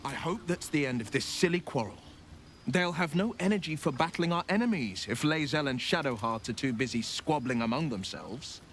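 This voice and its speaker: Sensible male adventurer, slightly high voice, earnest, measured, mildly exasperated, video game dialogue. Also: lively intonation, fairly bright tone, soft background music. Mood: mild exasperation.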